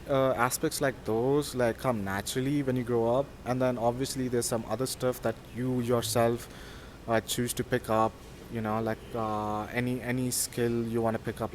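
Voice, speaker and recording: A man in his twenties from Pakistan, a student whose first language is Sindhi, answering interview questions in a relaxed, hesitant way with many fillers. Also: mid-range voice, slight background noise. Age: 20s.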